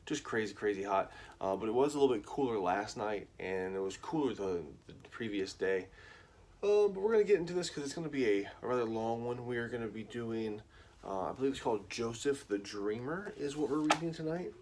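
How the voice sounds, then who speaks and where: Rambling, relaxed dad hosting a livestream. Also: male voice, medium pitch, a little fast. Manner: relaxed, rambling, lots of fillers. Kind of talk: casual chat and reading aloud.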